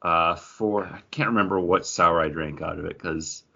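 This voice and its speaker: Male podcast host, chatty and joking, bright lively voice, a little husky, slightly lo-fi. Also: sits low, normal speed. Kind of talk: casual banter on a comics podcast.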